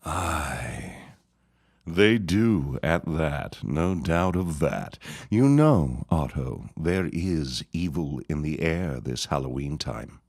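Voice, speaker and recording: A scheming reverend with a menacing, gloating manner. His voice sits very low and swings widely in pitch. He draws every word out slowly, as in an old-time radio play. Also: big pitch swings, vocal fry, a little mellow, full character voice. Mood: indignant. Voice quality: deep, slow, drawn-out menace. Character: scheming sinister small-town reverend, gloating. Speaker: Reverend Gaumont. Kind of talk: radio drama acting.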